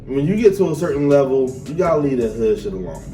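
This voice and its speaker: A man's voice: mid-range voice, very animated, quick pace, warm tone. A man co-hosting a podcast in casual chat, blunt and emphatic, with plenty of swearing. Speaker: podcast co-host. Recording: echoey room, distant mic, faint music.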